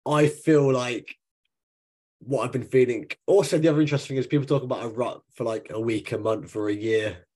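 A man with a slightly husky, croaky voice and a middling pitch. He talks casually as a podcast co-host, in a chatty, self-deprecating way. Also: steady tone, fairly quick, a little mellow.

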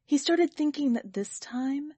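A woman reading a short story aloud as an expressive narrator. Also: sits high, unhurried, dry recording. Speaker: podcast editor and story reader. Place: quiet, dry recording space.

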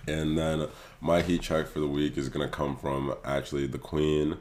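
A man hosting a casual podcast chat, hoarse from losing his voice, laughing often and talking loosely. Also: rumbling low, little pitch movement, normal speed, a little mellow. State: hoarse, lost voice. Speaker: podcast host.

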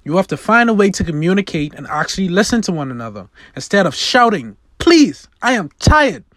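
A man playing teenage son, exasperated, pleading, pitched up and loud, amateur script reading, dry close sound. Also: big pitch swings, brisk, no echo. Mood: angry, pleading. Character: fed-up teenage boy snapping at his bickering parents.

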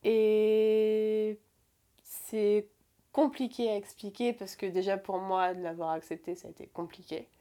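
A young woman in her twenties speaks at a middling pitch about her past, pausing often and restarting sentences. Her delivery is halting and full of fillers. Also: level tone, warm tone. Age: 20s. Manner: hesitant, many fillers and restarts. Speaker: guest. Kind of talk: personal confession in conversation.

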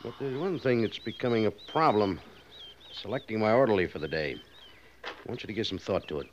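A man's voice: lowish voice, lively intonation, fairly quick, lightly acted. A muffled old radio drama recording with faint noise carries a man acting as an American fort commander. The major is exacting yet fair, formal and authoritative, with a very varied mid-range delivery. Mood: businesslike. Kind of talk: 1950s radio western acting.

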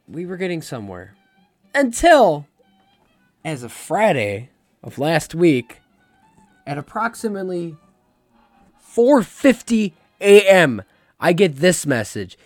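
A young adult man hosting his own podcast, talking in a rambling, confessional way, his voice a little hoarse as it recovers. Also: sits high, very animated, measured pace, pauses often, dry recording. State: slightly hoarse, recovering lost voice. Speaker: podcast host. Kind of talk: casual solo podcast talk. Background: faint jazz music.

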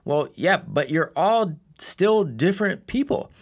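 A man's voice: sits high, sing-song, unhurried. A middle-aged man hosting an interview, conversational and agreeable, often restarting his sentences as he thinks aloud. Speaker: podcast host.

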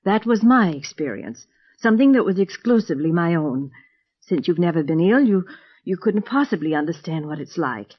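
A gentle middle-aged mother in an old radio drama. Her husky voice moves expressively up and down, affectionate yet wounded, on a dull lo-fi recording. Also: lowish voice, lively intonation, moderate pace. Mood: subdued, earnest. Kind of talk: mid-century radio drama acting.

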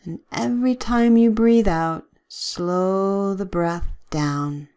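A woman leading a guided relaxation with gentle, soothing, measured instructions and long pauses. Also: medium pitch, clear, resonant tone.

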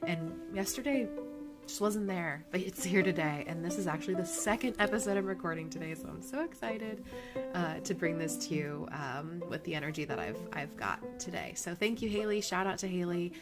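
A woman's voice: on the low side, animated, brisk, over loud music. A woman hosting her own podcast, talking in a casual, upbeat and encouraging way with a slightly nasal voice. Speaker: podcast host. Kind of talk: casual motivational monologue.

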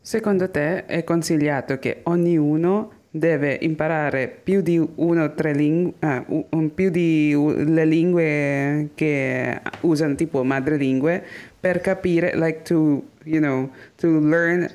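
A woman co-hosting a podcast, asking a question haltingly while she searches for words. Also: sits low, a little mellow. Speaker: podcast co-host.

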